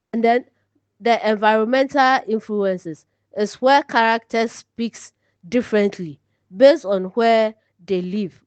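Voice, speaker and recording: A woman with a Ghanaian accent giving an instructional lesson with deliberate, explanatory phrasing. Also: medium pitch, a little mellow, clean tone, dry recording. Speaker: teacher presenting an online lesson. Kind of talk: instructional lecture. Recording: slightly dull, lo-fi audio.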